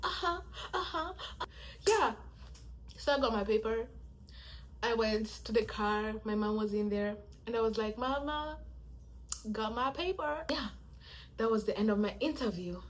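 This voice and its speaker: A soft-spoken young woman, chatting casually to camera as she tells a story and acts out how people react to her. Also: expressive, a little slow, pauses often. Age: young adult. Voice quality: soft-spoken, low-volume voice. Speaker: vlogger.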